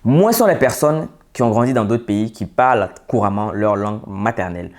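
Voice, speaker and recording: A man speaks in a fairly low voice. He delivers an earnest, advising vlog monologue and pauses on hesitant fillers. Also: expressive, a little mellow. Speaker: vlogger. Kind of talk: opinion monologue to camera.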